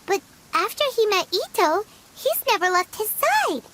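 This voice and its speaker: A woman voicing a small, earnest, childlike fairy companion in a video game, with a squeaky, chirpy delivery. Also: very animated, measured pace, noisy background, exaggerated voice. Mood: earnest, reassuring. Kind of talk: video game character acting.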